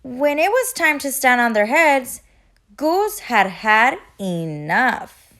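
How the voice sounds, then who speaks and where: A woman storyteller narrating a children's picture book aloud in an expressive style. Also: high voice, takes it slowly. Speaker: storyteller, teacher.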